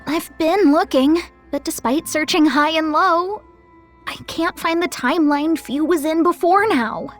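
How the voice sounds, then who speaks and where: A woman voicing a small, youthful, caring goddess in an animated video game, perky and earnest. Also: high voice, a little slow, soft background music. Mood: focused.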